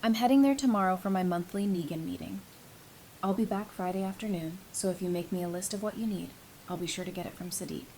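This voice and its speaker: A woman's voice: medium pitch, fast, a little mellow, a little hiss or hum, natural voice, low-quality recording. A woman playing a tough, caring survivor with a familiar, teasing way of talking in a fan audio drama. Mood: brisk, helpful.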